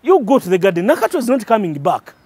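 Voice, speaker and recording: Man playing a calm farmer father, measured, practical, folktale. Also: sits high, breathy edge, no echo, heavily acted.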